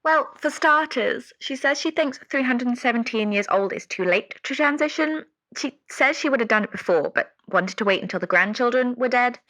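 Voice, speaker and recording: A woman's voice: a little high, expressive, normal speed, few pauses, fairly bright tone, rough recording. A woman playing an anxious, devoted caller to a radio advice show in an audio drama, hesitant and earnest. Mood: earnest, flustered. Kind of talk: scripted audio drama phone-in.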